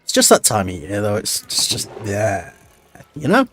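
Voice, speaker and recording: A man's voice: fairly low pitch, huge pitch swings, normal speed, a bit bright. Stuffy, tired man thinking aloud. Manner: thinking aloud, rambling, self-talk. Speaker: streamer. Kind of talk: casual gameplay commentary. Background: background music.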